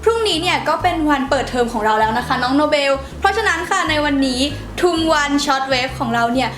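A teenage high school girl hosting a student vlog in a chatty, polite presenter style. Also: expressive, a little fast, fairly bright tone. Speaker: student host of a school channel. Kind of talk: scripted vlog presenting to camera. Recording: roomy echo, music behind. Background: background music.

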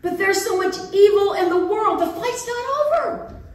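A middle-aged woman pastor preaching a sermon in a church sanctuary, playful and animated, acting out the voices of biblical figures. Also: extremely high pitch, normal speed. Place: church sanctuary with organ pipes. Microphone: far camera in the pews. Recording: echoey church from a distance.

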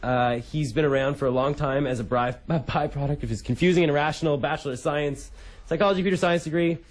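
A man's voice: on the high side, quick pace. A young man hosting a casual radio interview in a studio. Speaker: radio host.

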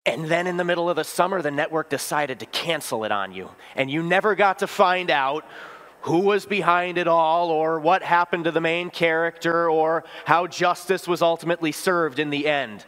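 A man's voice: on the high side, normal speed, fairly bright tone. Man preaching in a reverberant church. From Wisconsin, US. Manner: conversational storytelling, asks the congregation questions. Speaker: pastor. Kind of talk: sermon. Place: church sanctuary at the altar.

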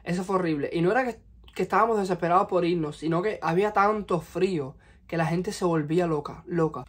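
Fast-talking young Cuban man. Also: on the high side. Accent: Cuban Spanish. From Cuba. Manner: animated, expressive, gesturing with hands. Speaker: vlogger. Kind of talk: personal storytelling vlog. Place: small bedroom, indoors. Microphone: camera in front at close range.

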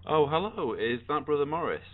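A man's voice: on the high side, very animated, a little fast, narrow, tinny audio, background noise. A British man in his thirties giving casual commentary as a vlogger. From United Kingdom. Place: small indoor room at a desk.

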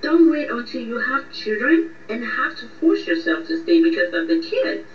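A woman's voice: high voice, moderate pace, close to an everyday voice. A woman playing a blunt, sarcastic young best friend in a radio drama, teasing and exasperated. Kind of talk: radio drama phone conversation. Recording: muffled, echoey, distant, faint noise.

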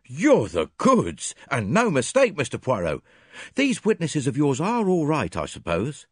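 Brisk, plain-spoken inspector with a raised, lively delivery, voiced by a man. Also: a little high, husky, dry recording, clean studio sound, pitched above the performer's own voice, exaggerated voice. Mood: hearty. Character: blunt, hearty English police inspector.

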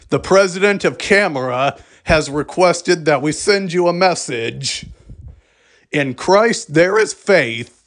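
A man reading a scripted emergency alert broadcast in a formal, measured manner. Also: a little high.

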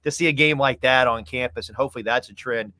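A man's voice: medium pitch, fast, pushed and bright. A man in his thirties talking conversationally as a sports interview guest, folksy and emphatic, repeating phrases for stress. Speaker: sports play-by-play announcer, podcast guest. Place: small home room, video call.

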